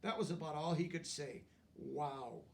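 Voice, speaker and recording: High-voiced man reading aloud, slightly distant. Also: a little slow, slight background noise. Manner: animated, explains words and pictures to young listeners. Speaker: storyteller reading a picture book aloud. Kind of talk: expressive read-aloud narration with asides.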